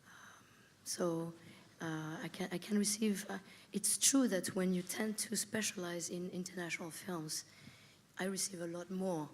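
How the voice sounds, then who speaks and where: A woman's voice: lowish voice, fairly even intonation, moderate pace. A woman producer who grew up in Spain, answering a panel question in a thoughtful, hesitant way with many fillers. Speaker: film producer.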